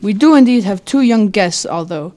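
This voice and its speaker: A woman's voice: mid-range voice, animated, normal speed, dark tone, close to an everyday voice. A woman playing a courtly, sinister male vampire count who speaks with grand, welcoming formality. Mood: gallant, insistent.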